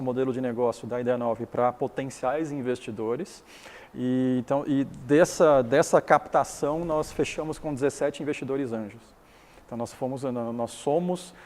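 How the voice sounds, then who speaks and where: A man's voice: mid-range voice, a little mellow, in a large hall. A middle-aged man from Taiwan whose first language is Chinese, explaining his business in an interview, often restarting and repeating words.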